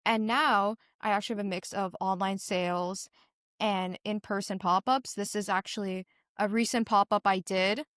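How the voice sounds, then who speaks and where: A woman's voice: mid-range voice, steady tone, moderate pace, fairly bright tone, smooth, no room sound, studio quality. A teenage girl from Singapore giving earnest, conversational interview answers as a young business founder, telling stories animatedly with a lot of "like". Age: teens.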